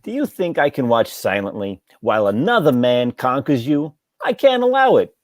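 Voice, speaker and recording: A woman's voice: extremely low pitch, big pitch swings, normal speed, a little mellow, no room sound, clean studio sound. A woman reading the part of a jealous, lovesick, talkative male barber in a stage comedy table read. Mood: jealous, intense.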